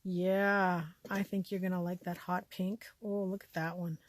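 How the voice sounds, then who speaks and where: A woman with a scratchy voice chatting casually as she shows off a thrift haul, often trailing off into quiet asides. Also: on the low side, level tone, unhurried. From Canada. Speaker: vlogger showing a thrift haul. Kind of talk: casual show-and-tell chat.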